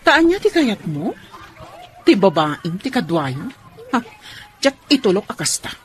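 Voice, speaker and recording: A woman's voice: medium pitch, big pitch swings, unhurried. A woman acting in a radio drama as a jealous, defiant wife and protective mother. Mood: angry, defiant. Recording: faint background noise and ambience.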